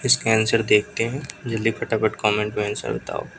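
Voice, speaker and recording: A man teaching an exam-prep lesson with a sore throat, reading out quiz questions and options in a brisk, hurried way and pushing viewers to answer quickly. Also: fairly low pitch, monotone, background noise.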